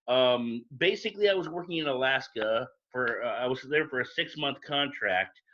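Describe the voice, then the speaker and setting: Bright, forceful man on dull call audio. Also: mid-range voice, expressive, normal speed. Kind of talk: casual storytelling chat. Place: group video call.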